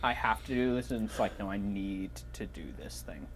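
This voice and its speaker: A man's voice: on the low side, normal speed, faint music behind. A man in casual conversation, phrasing his questions carefully and tentatively. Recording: background noise and ambience.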